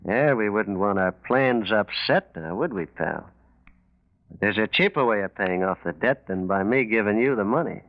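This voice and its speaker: Slick, cocky small-time crook, muffled old radio. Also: male voice, on the low side, animated, normal speed. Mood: slyly menacing. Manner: slangy, glib, street-tough talk. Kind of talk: 1940s radio mystery acting. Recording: muffled lo-fi 1940s radio recording.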